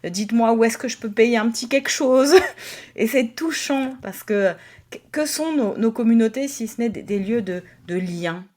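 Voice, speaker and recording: A woman pastor co-hosting a podcast, speaking in a hesitant way with many fillers and occasional soft laughs. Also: a little high, normal speed. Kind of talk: casual conversation.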